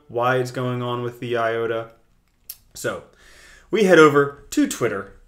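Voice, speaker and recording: A young man hosting a casual video, talking through his screen in a chatty, jokey way with big swings in loudness. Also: medium pitch. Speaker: crypto video host. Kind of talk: casual screen-share commentary.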